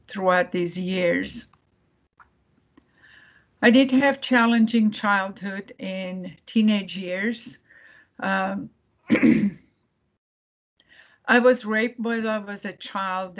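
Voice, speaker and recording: Slow, halting woman with a gravelly voice. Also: medium pitch, highs cut off. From Iran. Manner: halting, many fillers and restarts. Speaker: guest speaker. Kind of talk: recorded video-call presentation. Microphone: video call.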